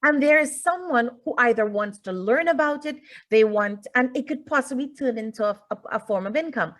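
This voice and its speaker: A woman, a native English speaker, giving an informal online lecture as a teacher, explaining things with deliberate pauses and emphasis. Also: a little high. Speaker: webinar presenter, teacher. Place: indoors, online video call.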